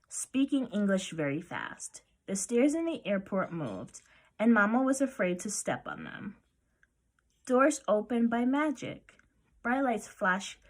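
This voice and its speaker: Female read-aloud narrator, lively swooping intonation, expressive picture-book storytelling. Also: medium pitch.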